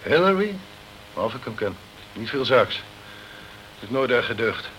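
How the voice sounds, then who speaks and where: An actor playing a sceptical, self-protective British consul in a crime radio play, with a condescending, chummy, blustering way of talking. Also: male voice, mid-range voice, very animated, unhurried, a bit bright, slight background noise, low-quality recording. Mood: doubtful.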